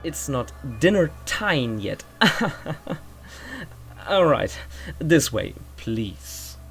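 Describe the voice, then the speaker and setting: A woman's voice: low voice, big pitch swings, drawn-out words, breathy edge, exaggerated voice. A woman playing a fussy, courteous steward of a magical mansion, giving a guided tour in a pompous, formal, theatrical audio drama manner. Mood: bemused, courteous. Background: music.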